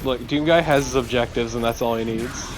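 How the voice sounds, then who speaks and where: Medium-pitched man co-commentating over game noise. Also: fairly even intonation, brisk. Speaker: let's play co-commentator. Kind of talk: casual gaming commentary.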